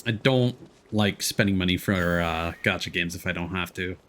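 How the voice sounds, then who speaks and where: A man doing casual, chatty live game commentary, quick to react out loud. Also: low-pitched, slight background noise. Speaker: game livestreamer, host.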